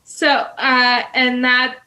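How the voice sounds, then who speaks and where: A woman's voice: high voice, completely flat delivery, very slow, sharp tone. A young woman hosting an interview, hesitant with many ums and giggly. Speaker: interview host. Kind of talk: casual interview.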